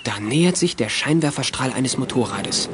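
A man's voice: mid-range voice, animated, moderate pace, a bit bright, a little hiss or hum. A man narrating a youth radio drama as a scripted, dramatic story.

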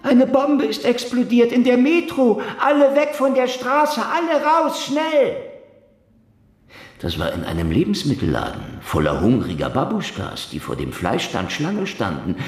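An actor in a German radio drama playing a sardonic, taunting former Russian security man with a theatrical, mocking manner and teasing pauses. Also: high voice, huge pitch swings, roomy sound, faint music behind, heavily acted. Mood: dramatic, accusing. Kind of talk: German audio drama acting.

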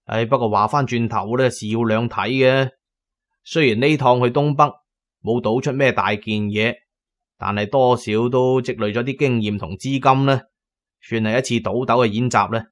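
An actor with a Cantonese accent playing a pragmatic tomb raider who tells his own adventures in a radio drama, measured and colloquial. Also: medium pitch, natural voice. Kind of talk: first-person radio drama narration and dialogue.

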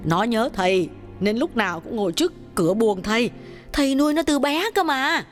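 A man voicing a grumbling, sharp-tongued middle-aged wife who scolds her husband in quick bursts. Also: very high voice, lively intonation, soft background music, pitched above the performer's own voice. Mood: fretful. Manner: scolding, rapid, exasperated nagging.

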